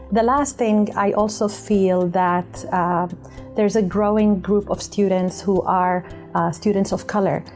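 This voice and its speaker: Woman, professor, earnest explanation, low pitch, faint music behind. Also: dark tone. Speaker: university professor and researcher. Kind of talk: explaining own academic work on camera.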